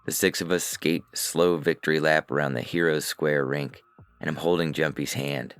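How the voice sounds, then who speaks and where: Extremely deep, creaky man narrating fiction. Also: fairly even intonation, moderate pace, fairly bright tone, no echo, faint music behind. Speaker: narrator and reader. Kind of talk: scripted first-person science fiction narration.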